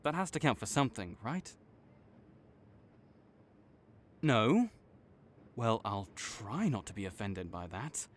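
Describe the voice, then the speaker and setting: A man playing an arrogant, teasing supervillain rival with a soft spot, speaking theatrically to the listener in an audio roleplay. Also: fairly low pitch, animated, normal speed, natural voice. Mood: awkward, sincere. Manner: smug, teasing, theatrical with sighs. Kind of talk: dramatic roleplay monologue spoken to the listener.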